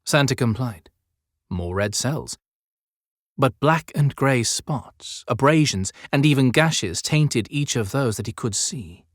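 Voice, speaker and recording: A man narrating an audiobook story in a measured, descriptive storytelling style. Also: medium pitch, expressive, no room sound, clean studio sound. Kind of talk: scripted dramatic storytelling narration.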